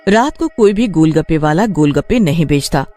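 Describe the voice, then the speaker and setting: A woman narrates a scripted story. Her intonation is lively and varied, moving up and down freely. Faint music plays behind the voice. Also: sits low, normal speed.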